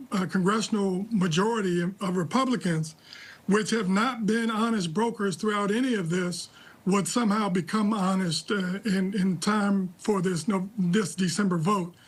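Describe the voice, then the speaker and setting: A man with an American accent giving live political analysis in a measured, deliberate, critical way. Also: high-pitched. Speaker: political analyst, interview guest. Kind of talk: live news commentary.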